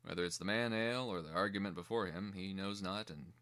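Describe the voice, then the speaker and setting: A man's voice: low voice, a little fast, a bit bright. A man giving scripted dramatic narration as the narrator of a fantasy screenplay reading.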